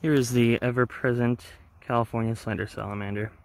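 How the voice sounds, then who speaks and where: A man giving casual, low-key narration as a wildlife vlogger filming outdoors from behind the camera. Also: steady tone, brisk. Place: outdoors in woods and grassland. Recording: outdoor ambient noise.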